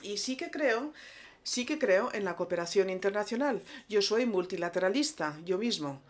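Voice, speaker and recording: A woman working as a simultaneous interpreter at a conference, rendering the speaker's words in a measured, steady delivery. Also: mid-range voice.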